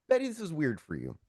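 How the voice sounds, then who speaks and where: Male game master, informal storyteller, very lively intonation, dry close sound. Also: a little high, no echo. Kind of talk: narrating a tabletop roleplaying game, conversational.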